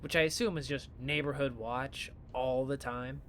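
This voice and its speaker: A man playing a flippant but caring young student in an audio drama, talking in a casual, sardonic, expressive way. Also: mid-range voice, normal speed, slight background noise, natural voice. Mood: teasing concern.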